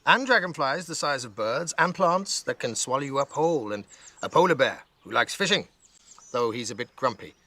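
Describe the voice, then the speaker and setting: A man voicing a playful, kindly old grandmother in a storybook, speaking with a gentle lilt. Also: medium pitch, expressive, moderate pace, dry recording, voice pushed up. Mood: gently teasing.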